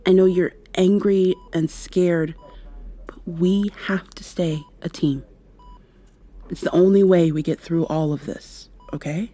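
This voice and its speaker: A woman playing a devoted, worried partner of a sick loved one, speaking tenderly and haltingly to the listener in an intimate ASMR roleplay. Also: on the low side, fairly even intonation, mellow. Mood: gentle, pleading. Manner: tender, soothing, halting and reassuring.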